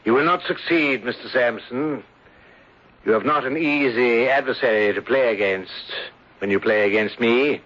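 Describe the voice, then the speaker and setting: A man playing a scheming gentleman villain in a radio play, mid-pitched and formal. He talks with pompous, deliberate defiance on a dull, lo-fi recording. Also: lightly acted. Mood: coldly defiant. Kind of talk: old-time radio drama acting.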